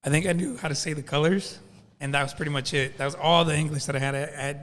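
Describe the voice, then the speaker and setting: A man's voice: a little high, fast, big-room sound. A man from Michoacán, Mexico, raised in Washington State, talking easygoing as a podcast guest and sometimes dropping into Spanish. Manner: relaxed, easygoing, mixes in Spanish. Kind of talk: casual interview conversation.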